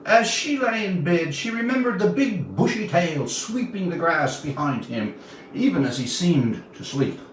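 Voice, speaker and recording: Slow, expressive older man reading a story. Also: high-pitched. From Newfoundland, Canada. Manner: deliberate, dramatic phrasing with pauses. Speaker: author reading own story. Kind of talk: storytelling, reading aloud from own tale. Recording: roomy, distant mic, faint background noise.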